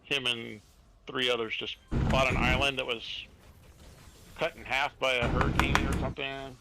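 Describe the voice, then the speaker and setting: A man's voice: mid-range voice, flat delivery, moderate pace. A man in his forties, the streamer, chatting casually and rambling through anecdotes with friends in voice chat. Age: 40s. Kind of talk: casual voice chat while gaming. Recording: noisy stream audio.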